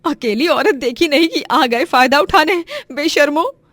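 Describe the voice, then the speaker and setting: A woman's voice: high voice, normal speed, dry recording, voice pushed up. A woman voicing a poor, devout, protective mother in a story, with an emotional, pleading manner. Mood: furious.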